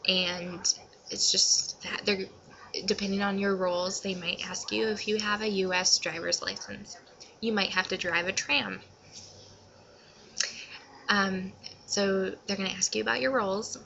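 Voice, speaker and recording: A young woman chats casually to her viewers in a very bright, forward voice. Her pace is a little slow and her delivery rambles informally, with some self-consciousness. The recording is dull and lo-fi. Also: medium pitch, fairly even intonation, gravelly, a little hiss or hum. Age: young adult. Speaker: vlogger. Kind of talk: casual advice vlog to camera.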